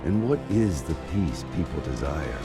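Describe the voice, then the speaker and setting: An actor voicing a grave, brooding narrator who muses slowly and deliberately in a dramatic anime game over loud music. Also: male voice, a bass voice, very animated. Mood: grave, musing. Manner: slow, deliberate, musing.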